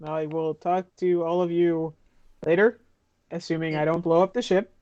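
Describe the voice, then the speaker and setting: A man's voice: on the high side, flat delivery, moderate pace, a little mellow, no echo, lo-fi sound. A man improvising casual in-character chat as a player in a tabletop roleplaying game.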